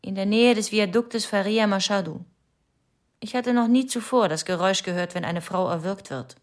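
An actress as a shaken female witness in a radio crime drama. She reports in bleak, sober sentences, with a medium-pitched voice. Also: normal speed, lightly acted. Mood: bleak, factual. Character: shaken woman secretly tailing a killer, bleakly factual. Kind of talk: first-person radio play narration.

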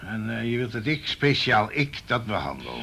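An actor playing a wry, sardonic office colleague in a naturalistic radio drama, hesitant and dryly ironic. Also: male voice, lowish voice, sing-song, moderate pace, gravelly, bright, forceful tone, heavily acted.

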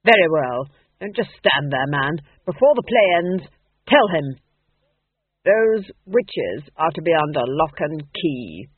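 A man's voice: on the high side, big pitch swings, slow, husky, no room sound, heavily acted. A man voicing a smug, madly scheming duke in an audiobook, declaiming his orders imperiously. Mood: commanding. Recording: narrow, tinny phone-like sound.

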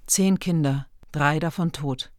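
A woman's voice: deep voice, a little slow, a little mellow, dry recording. A woman playing a sober, knowing narrator who addresses a dead queen directly in a scripted radio drama.

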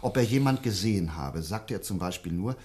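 An earnest doctor in a German radio mystery. His voice is a man's, somewhat low. He presses his point insistently while feeling his way to the right words. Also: animated, fairly quick, a little mellow. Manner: hesitant, searching for words, insistent. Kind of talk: German radio play acting.

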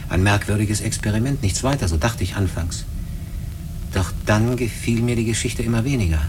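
A man's voice: medium pitch, close to an everyday voice. An actor in a German radio drama playing an earnest crewman who speaks hesitantly and confidingly. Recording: clear background ambient noise.

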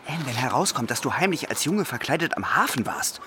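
A man's voice: medium pitch, animated, fairly quick, sharp tone, a little hiss or hum. An actor playing a cautious, anxious young man in a German radio play, speaking in urgent, hesitant warnings. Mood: probing, urgent. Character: cautious, anxious young man, loyal companion to a reckless girl.

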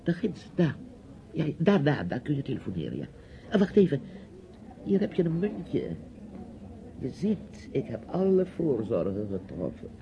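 A man's voice: medium pitch, huge pitch swings, measured pace, gravelly, pauses often, full character voice. An actor playing a chatty, nosy, bossy older man in a radio drama, with a theatrical, rambling delivery. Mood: scheming, curious. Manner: theatrical, rambling, commanding, with hesitations. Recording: dull, hissy old radio play recording.

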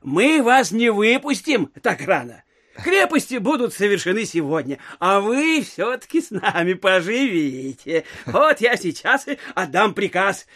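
Affable court chairman, very high husky voice, eager, fussy, exclamatory, theatrical radio play. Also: big pitch swings, a little slow, fairly bright tone, exaggerated voice. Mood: obliging, bustling. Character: genial, obliging, fussy male provincial court chairman. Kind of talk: theatrical audio drama acting.

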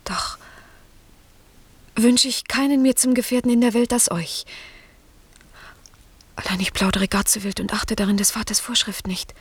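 An actress playing an innocent, sheltered young maiden in classical radio drama, soft and earnest, often sinking to a breathy near-whisper. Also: female voice, a little high, level tone, normal speed, pauses often, no echo. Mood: shy.

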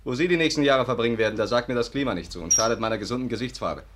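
Man playing a fast-talking commanding hero. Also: medium pitch, close to an everyday voice. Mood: wry. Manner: commanding, dryly ironic. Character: commanding, wry male hero holding crooks at gunpoint. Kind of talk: German radio play acting. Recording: slightly noisy old radio recording.

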